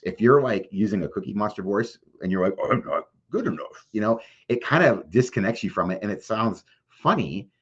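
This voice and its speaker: A man's voice: fairly low pitch, breathy edge. A middle-aged man giving conversational advice as an interview guest, explaining in plain, everyday words sprinkled with filler phrases. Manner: plain-spoken, explanatory, peppered with "like" and "you know". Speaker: podcast guest, mental health advocate. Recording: dull, lo-fi sound.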